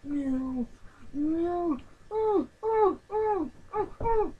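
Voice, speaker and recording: A woman playing a sassy, grumpy pet cat who answers only with drawn-out, plaintive meows. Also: very high-pitched, expressive, phone-quality sound, full character voice. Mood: whiny.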